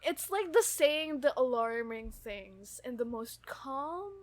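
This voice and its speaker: A young adult woman in casual fan chat as a podcast co-host, animated and enthusiastic, often repeating her phrases. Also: high voice, slow pace, no room sound.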